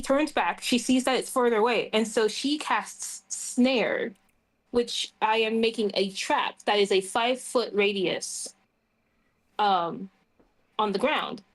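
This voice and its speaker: A woman's voice: on the high side, low-quality recording. A woman playing a tabletop roleplaying game, casually explaining her moves and their rules.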